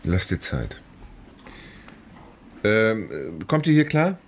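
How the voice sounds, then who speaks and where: A sympathetic man in a radio play, hesitant and understated. His pitch moves around a lot while his delivery stays halting. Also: wildly swooping pitch, full character voice. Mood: gentle, awkward. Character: hesitant, sympathetic male confidant listening to a woman. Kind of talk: radio drama acting.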